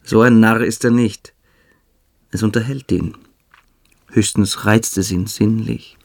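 A man playing a calculating, cruel young military-school cadet in a German radio drama, speaking with deliberate, coolly superior menace. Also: on the low side, fairly even intonation, gravelly, darkish tone, exaggerated voice. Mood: contemptuous, confiding.

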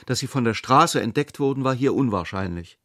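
A man with a mid-pitched voice narrates literary prose for a radio play. He phrases it deliberately and evenly measured, in a close, dry acoustic. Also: expressive, a bit bright, dry recording.

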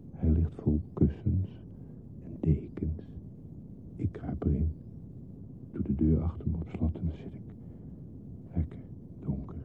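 An actor playing a lonely, disturbed man who longs to be a baby, in a hesitant, confiding, often near-whispered radio play monologue. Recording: muffled lo-fi recording with hiss and rumble.

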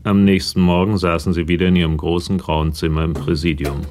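A man's voice: sits low, flat delivery, fairly quick, music in the background, lightly acted. An actor serving as the sober male narrator of a radio crime drama, reading scripted narration.

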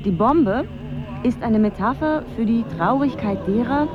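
A woman's voice: on the high side, lively intonation, unhurried, muffled recording, over music. A woman playing an earnest explainer of absurd matters in a literary radio drama.

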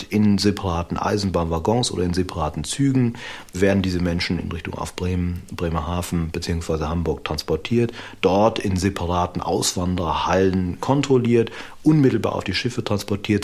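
Very deep, husky male expert. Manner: deliberate, emphatic, academic phrasing in short segments. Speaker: history expert being interviewed. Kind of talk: interview explanation.

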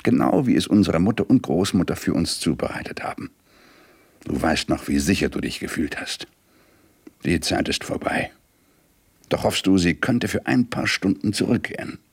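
Deep, gravelly, brooding police detective. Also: male voice, lively intonation, no room sound, heavily acted. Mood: brooding, nostalgic. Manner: brooding, terse, measured phrases. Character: hard-boiled, shaken New York police detective, weary and angry. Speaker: actor, narrator and lead. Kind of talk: radio crime drama, intimate first-person monologue and dialogue.

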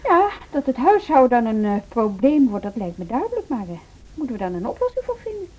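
An independent, spirited wife and music teacher in a radio play. Her intonation swings freely and she can be dryly teasing. The voice is a little husky, on a dull, band-limited recording. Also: fairly high pitch, big pitch swings, a little fast, darkish tone. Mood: firm, indignant. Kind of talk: Dutch social radio drama acting.

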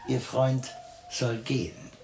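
A man's voice: mid-range voice, flat delivery, slow, gravelly, background noise. An actor playing a haughty, erratic asylum patient in a German radio drama, pompous and mocking, switching abruptly from courtesy to insult. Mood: disdainful. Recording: music behind the voice.

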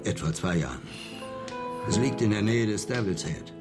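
An actor playing a polite, disillusioned former army chaplain who keeps a frontier inn, telling things in measured words in a German western radio drama. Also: male voice, fairly low pitch, expressive, loud music behind the voice, close to an everyday voice. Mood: matter-of-fact. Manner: courteous, measured storytelling.

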